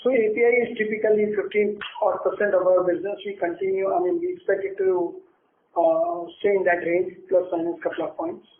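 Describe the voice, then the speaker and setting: A man's voice: sits high, expressive, brisk, narrow, tinny audio. An Indian-accented man, a company executive answering questions on an earnings call in a plain-spoken way, often repeating phrases. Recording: roomy, noisy phone conference line.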